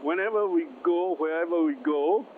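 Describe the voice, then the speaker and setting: A man who worked on film production, recalling things slowly in an interview with repeats and restarts. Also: fairly high pitch. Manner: slow, halting, repeats and restarts. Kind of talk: interview recollection. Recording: phone-like sound with air conditioning hum.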